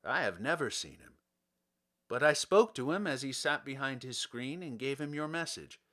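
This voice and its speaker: A man's voice: mid-range voice, lively intonation, normal speed, sharp tone. A man voicing a courteous, dutiful palace soldier in a storybook reading, with formal, polite phrasing. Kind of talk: storybook character voice.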